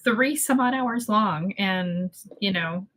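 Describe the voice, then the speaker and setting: A woman's voice: mid-range voice, slow pace, fairly bright tone. A woman chatting casually as a panelist, offhand and dryly nonchalant. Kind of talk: casual chat.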